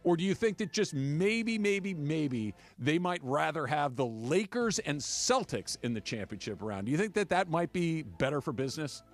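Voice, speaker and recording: Male sports debate host, slightly higher-pitched, emphatic and opinionated, leaning on repetition, music underneath. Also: very animated, normal speed, hardly any pauses. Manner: emphatic, repeats phrases for effect, rhetorical questions. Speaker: sports talk radio host.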